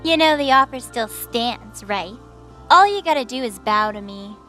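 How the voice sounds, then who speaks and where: A woman's voice: on the high side, animated, moderate pace, bright, forceful tone, soft background music. A woman voicing a proud, bossy, threatening young phantom queen in an audio drama. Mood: smug, coaxing. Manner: bossy, brash, taunting. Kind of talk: audio drama character acting.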